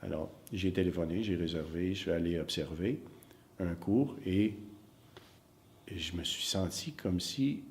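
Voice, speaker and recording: A middle-aged man with a very low voice. He is an acting teacher answering interview questions in a reflective way. He pauses often and repeats words as he hunts for the right phrase. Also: a little mellow. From Northern Ontario. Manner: hesitant, many fillers and repeated words.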